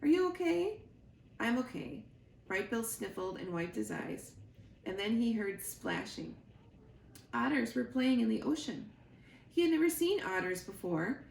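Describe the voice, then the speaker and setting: A woman storyteller giving an expressive read-aloud of a children's book. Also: mid-range voice, unhurried, vocal fry. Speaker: library storyteller. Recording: roomy echo, faint background noise.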